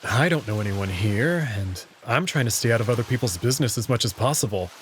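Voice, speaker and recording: A man's voice: medium pitch, lively intonation, brisk, a little hiss or hum, lightly acted. A man voicing a wary but considerate human hero in a visual novel, in a guarded conversational way. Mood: reassuring. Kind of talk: visual novel character reading.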